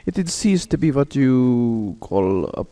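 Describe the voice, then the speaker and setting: An actor playing a suave, boastful aristocratic general who hunts men, in a courtly, theatrical old-time radio drama style. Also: male voice, mid-range voice, very animated, unhurried, mellow. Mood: courtly, reflective.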